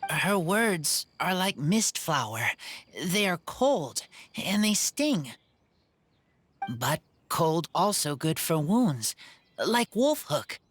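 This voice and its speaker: Young feral boy in an anime game, slow broken sentences, low earnest voice with lively rises. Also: breathy edge, full character voice. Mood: pensive. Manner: halting, broken simple sentences.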